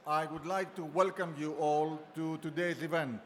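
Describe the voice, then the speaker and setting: A man hosting an event luncheon, giving an unscripted, halting welcome speech and thanking his guests. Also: a little high, fairly even intonation, fairly bright tone. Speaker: event host and organizer. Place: large banquet hall. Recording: long reverb of a large hall.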